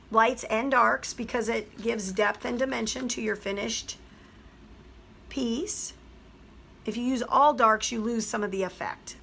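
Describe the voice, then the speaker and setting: A hoarse woman, a teacher, chattily explaining a craft project step by step. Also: a little high, bright, forceful tone, slight background noise. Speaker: craft tutorial host. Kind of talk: craft tutorial voice-over.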